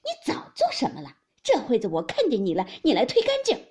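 A woman voicing a fierce, jealous young mistress in a storybook reading, shrill and complaining. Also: rapid-fire, mellow, slightly rough, natural voice. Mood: furious. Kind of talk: dramatised audiobook of classic novel.

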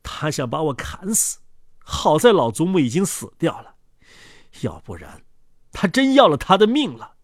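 A man voicing a sly, quick-witted poor peasant trickster in a storybook tale. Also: fairly high pitch, wildly swooping pitch, no echo, studio quality. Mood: indignant, relieved.

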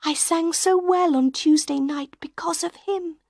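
A woman's voice: very high voice, a little slow, a little mellow, no room sound, studio quality, pitched above the performer's own voice. A woman voicing a frightened young female opera singer who pleads in breathless whispers. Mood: fearful.

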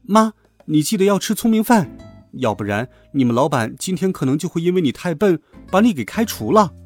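A cheeky schoolboy hero acted by a man in a storybook, boastful and playful. His teasing lines swing widely in pitch, with some huskiness. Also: sing-song, brisk, breathy edge, soft background music. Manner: teasing, cocky, exaggerated. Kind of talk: children's audiobook character acting.

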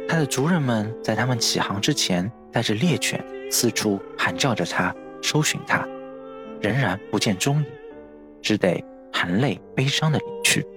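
A man hosting a podcast, narrating literary history from a script in a bookish, explanatory manner. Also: fairly low pitch. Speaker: podcast host. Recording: music under the voice.

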